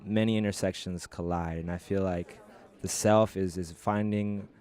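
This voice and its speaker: A man with a deep voice and little rise or fall. He answers reflectively, building his thoughts in philosophical phrases. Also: fairly even intonation, normal speed, dark tone.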